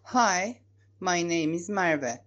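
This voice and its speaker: A woman from Turkey, a student reading her own poem slowly and carefully. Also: lowish voice, lively intonation, muffled recording. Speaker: language student.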